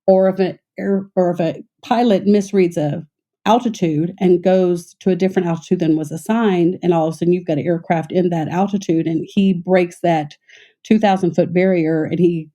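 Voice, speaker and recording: Mellow-voiced woman, former air traffic controller. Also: fairly low pitch, fairly even intonation. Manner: measured, frequent fillers and restarts. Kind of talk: conversational interview storytelling.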